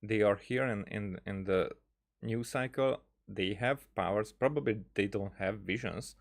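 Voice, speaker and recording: A man giving casual game commentary, thinking aloud in a halting, rambling way. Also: fairly low pitch, gravelly. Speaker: gaming streamer.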